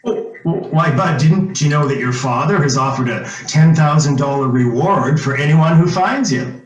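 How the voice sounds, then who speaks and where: A man as high-voiced, wheedling small-town ex-police chief. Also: normal speed, highs cut off, lightly acted. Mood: persuasive. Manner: hesitant, stammering, folksy, coaxing. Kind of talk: old-time radio drama acting. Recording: roomy echo, music underneath.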